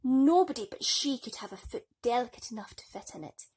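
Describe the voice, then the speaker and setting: A woman's voice: a little high, lively intonation, a little fast, darkish tone, breathy edge, pitched above the performer's own voice. A woman voicing an earnest, lovelorn young prince in a storybook, calling out and pleading. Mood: determined.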